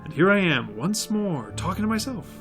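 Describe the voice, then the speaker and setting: An actor as a capable but weary investigator in an audio drama. The delivery is measured, wry and articulate at a middling pitch, over clearly audible music. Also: big pitch swings. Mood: exasperated. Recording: background music under the voice.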